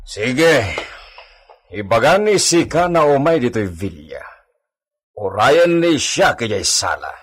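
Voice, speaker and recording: A man's voice: medium pitch, big pitch swings, slow pace, long pauses, breathy edge, heavily acted. A man with an Ilocano accent playing a stern, curt, formal master of a villa in a radio drama. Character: stern, imperious master of a villa, Señor Esteban.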